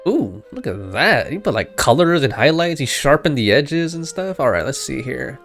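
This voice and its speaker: A man's voice: mid-range voice, very animated, moderate pace, a bit bright, soft background music. A man giving casual, slangy, reactive commentary while playing through a video game. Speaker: game streamer.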